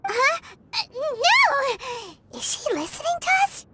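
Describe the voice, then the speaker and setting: A woman's voice: very animated, takes it slowly, heavy background noise, full character voice. A woman voicing a tiny, blunt, childlike fairy companion in a cartoonish video game. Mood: startled, indignant. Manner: squeaky, exclamatory. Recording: music behind the voice.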